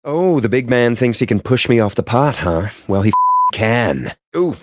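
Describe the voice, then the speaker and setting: A man's voice: mid-range voice, wildly swooping pitch, moderate pace, a bit bright, dry recording. A man voicing a sardonic, wordy, nerdy gamer as a video game character, with wry, pompous delivery and dramatic emphasis. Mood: mock bravado. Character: sardonic, verbose, nerdy male gamer at a poker table.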